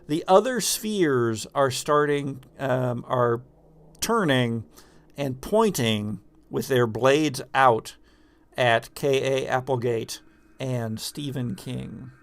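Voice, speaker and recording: A man improvising narration as game master of a tabletop game, telling it haltingly and dramatically with drawn-out pauses. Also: mid-range voice, expressive, creaky. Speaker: game master of a tabletop role-playing show.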